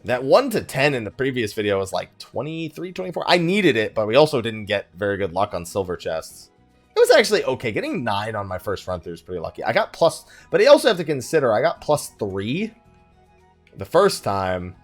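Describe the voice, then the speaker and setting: A man's voice: medium pitch, wildly swooping pitch, a little fast, soft background music. A man giving casual let's play commentary, rambling and thinking aloud as he plays. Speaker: gaming YouTuber hosting a let's play.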